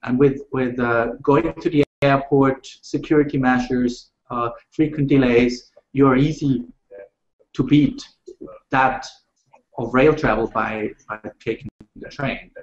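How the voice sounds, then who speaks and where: A man from Switzerland, a rail industry panelist speaking in a measured, halting way with many fillers. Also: mid-range voice, steady tone. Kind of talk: panel discussion over video call. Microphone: video call. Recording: roomy, muffled video call audio.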